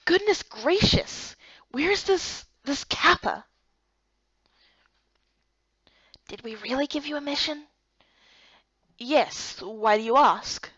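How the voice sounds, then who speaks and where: Stubborn, earnest young heroine for a fantasy game, acted by a woman: highish, expressive, swinging melody, dry sound. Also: takes it slowly, dry recording, highs cut off, exaggerated voice. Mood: exasperated. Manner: expressive, emphatic delivery. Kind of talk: visual novel game character dub.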